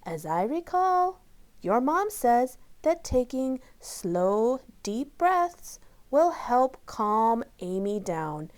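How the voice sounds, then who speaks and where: A woman voicing a kindly, forgetful female memory keeper in a children's story, gentle and motherly as she prompts. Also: a little high, sing-song, extremely slow, voice pushed up, full character voice. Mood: gently reminding. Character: Miss Pickles, kindly, forgetful female hippocampus who keeps memories.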